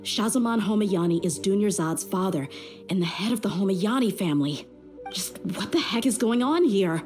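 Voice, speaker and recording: A woman's voice: medium pitch, expressive, moderate pace, darkish tone, natural voice. A woman voicing a tough, confident young female mercenary with a blunt, streetwise manner in a video game. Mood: puzzled, suspicious. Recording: music behind the voice. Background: game music.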